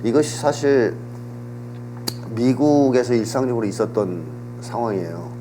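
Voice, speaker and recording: A man getting over a cold, explaining politics and history in a measured, lecturing way on a talk show. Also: medium pitch, lively intonation. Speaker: political commentator. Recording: audible hum and background noise.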